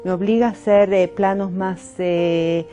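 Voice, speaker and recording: A woman from Mendoza with an Argentine accent, a painter giving reflective interview answers and pausing often on "eh" fillers. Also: medium pitch, steady tone, music in the background. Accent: Argentine Spanish. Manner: thoughtful, pauses often with "eh" fillers.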